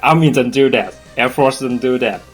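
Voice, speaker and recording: A man's voice: mid-range voice, husky, soft background music. A man with a Thai accent chatting casually, searching for words and restarting often in English. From Thailand. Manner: halting, searches for words in second language. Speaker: podcast co-host. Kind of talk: casual chat.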